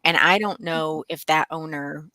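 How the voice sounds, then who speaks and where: A middle-aged woman co-hosting a livestream, chatting casually in a halting, personal storytelling way. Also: low voice, fairly even intonation, sharp tone, no room sound. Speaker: co-host of a book readalong livestream.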